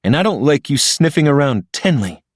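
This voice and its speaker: A smug, sneering young rich boy, as a male narrator puts him on, his voice lifted well above a low, dry narrator's tone. He talks down at people with pompous, blustering contempt. The recording is close and dry. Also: big pitch swings, normal speed, no room sound. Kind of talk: audiobook character voice.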